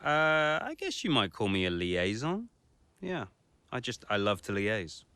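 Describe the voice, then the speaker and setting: A cocky, self-satisfied man in a game story. His bright voice swings widely in pitch as he drawls breezily, and it is recorded close and dry. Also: very animated, unhurried, smooth, dry recording, exaggerated voice. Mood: smug, breezy. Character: cocky, smug, charming man, scheming brother. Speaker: team liaison in a racing game story. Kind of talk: video game cutscene acting.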